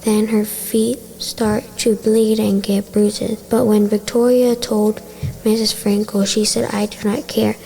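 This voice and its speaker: A woman's voice: medium pitch, little pitch movement, unhurried, creaky, mellow, low-quality recording. A girl in her early teens reading a scripted story aloud as a student. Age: young teenager. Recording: faint ambient background noise.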